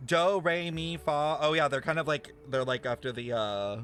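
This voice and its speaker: A man's voice: fairly high pitch, steady tone, normal speed, a bit bright. A man streaming his reaction to a video game, chatty and playful, often exclaiming. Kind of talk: casual reaction commentary.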